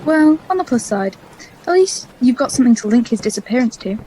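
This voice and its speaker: A woman's voice: fairly high pitch, animated, brisk, dark tone, slight background noise, lightly acted, rough recording. A woman playing a practical, level-headed scientist companion in an audio drama, speaking matter-of-factly.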